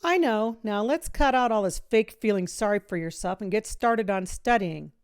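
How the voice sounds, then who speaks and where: A woman reading a children's story, voicing an energetic, no-nonsense woman coach with an emphatic, driving delivery. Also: medium pitch, normal speed, clean tone, no echo, clean studio sound, pitched above the performer's own voice, natural voice. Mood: firm, rallying. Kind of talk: children's audiobook character voice.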